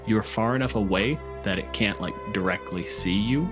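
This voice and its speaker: A man's voice: lowish voice, normal speed, music in the background. A man narrating casually and conversationally as the game master of a tabletop role-playing game. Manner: profane, conversational.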